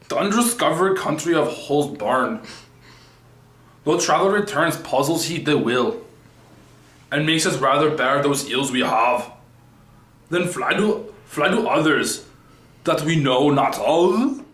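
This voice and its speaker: Male, amateur player as despairing young prince in stage tragedy, slow halting verse recitation, echoey room. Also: a little high, fairly bright tone. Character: brooding young tragic prince, contemplative and despairing. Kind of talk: halting recited Shakespeare soliloquy.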